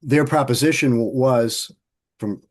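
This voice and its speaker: A male interviewer with a plain mid-pitched voice. He speaks thoughtfully and haltingly, restarting phrases as he works through his questions. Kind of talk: oral history interview.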